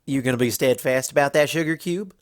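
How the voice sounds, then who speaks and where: A man's voice: medium pitch, fairly quick, lightly acted. A man voicing a stubborn, blunt young female farm pony in a storybook reading, with folksy country phrasing. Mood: teasing, curious. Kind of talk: storybook fan fiction character voice.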